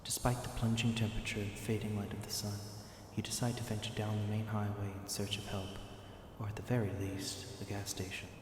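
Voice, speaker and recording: Echoing low-voiced male story narrator. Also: little pitch movement, a little fast. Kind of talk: scripted second-person story narration for ASMR roleplay. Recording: very echoey, long reverb, clear background noise.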